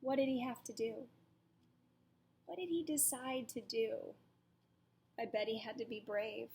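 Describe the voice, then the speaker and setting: Slow, high-voiced woman reading a storybook. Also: steady tone, darkish tone. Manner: deliberate, dramatic storytelling delivery. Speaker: teacher reading aloud to children. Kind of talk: expressive picture-book read-aloud with questions to children.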